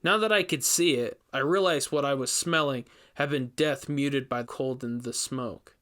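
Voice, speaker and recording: A male investigator, voiced by a man reading aloud recounts a grim ordeal in a horror audio story. His mid-pitched delivery is measured and dramatic, with emphatic first-person storytelling. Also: fairly bright tone, close to an everyday voice. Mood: grim, suspenseful.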